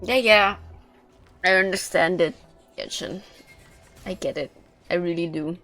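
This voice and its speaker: A woman's voice: on the low side, unhurried, a bit bright, faint music behind. A woman giving casual live commentary as a game streamer, wry and blunt.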